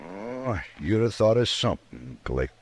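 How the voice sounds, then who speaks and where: Defiant, bitter frontier drifter. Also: male voice, on the low side, sing-song, measured pace, slightly rough, full character voice. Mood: sardonic. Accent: American. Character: defiant, bitter accused horse thief, Old West. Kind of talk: 1950s radio western acting.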